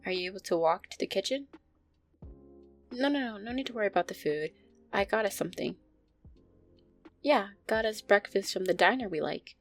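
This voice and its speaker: A woman playing a gentle, anxious, affectionate vampire girlfriend in an intimate roleplay audio, speaking softly, tenderly and hesitantly. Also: medium pitch, animated, moderate pace, long pauses, soft background music. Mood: caring, upbeat. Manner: soft, tender, hesitant, sighing delivery.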